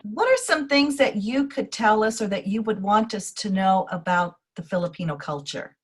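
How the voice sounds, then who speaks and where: A woman hosting a school's morning news show, addressing children in a warm, teacherly way and interviewing a guest. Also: mid-range voice, animated, normal speed, slightly rough.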